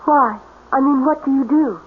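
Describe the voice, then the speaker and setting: A woman's voice: high voice, moderate pace, natural voice. Actress as a haunted woman, muffled radio sound. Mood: curious, intent. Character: mysterious, haunted young woman fleeing a swamp creature. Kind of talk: 1970s radio drama acting. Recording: muffled, noisy narrow-band old radio recording.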